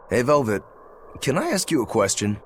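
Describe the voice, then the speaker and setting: A man's voice: medium pitch, slight background noise. An actor playing a laid-back, worldly male demon in a game, speaking with dry wit. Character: easygoing adult male demon, wry and worldly.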